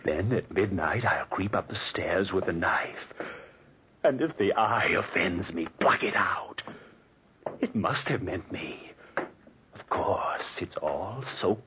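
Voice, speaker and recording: A very deep, tense male voice an obsessed would-be killer in a 1940s radio play. He mutters slowly and deliberately, often close to a whisper. The old recording is dull, with a faint hiss. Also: animated, exaggerated voice. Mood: hushed scheming. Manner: hushed, breathy, deliberate muttering to himself. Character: tense, obsessed man plotting a midnight killing. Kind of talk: 1940s radio drama acting.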